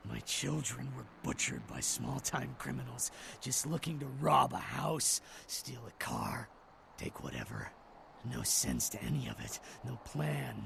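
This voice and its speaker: A man's voice: mid-range voice, vocal fry, background noise, exaggerated voice. An actor voicing a bitter, vengeful father turned ruthless vigilante in a video game, seething, defiant and intense. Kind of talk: dramatic video game voice acting.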